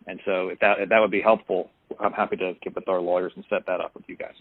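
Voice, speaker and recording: A man giving polite but firm, halting rebuttals on a conference call. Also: sits low, level tone, no echo. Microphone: telephone. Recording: dry, muffled, narrow phone line.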